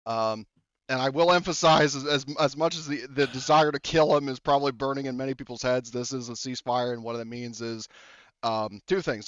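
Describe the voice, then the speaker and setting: A man's voice: medium pitch, a bit bright, no room sound. Game master: man, casual rambling narration, dull lo-fi dry sound. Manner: casual, rambling, many fillers and laughs.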